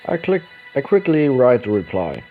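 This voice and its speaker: A man reading a visual novel's narration aloud, slowly and with small stumbles and restarts. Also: medium pitch, sing-song. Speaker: let's play host. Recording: dull lo-fi recording with game music behind.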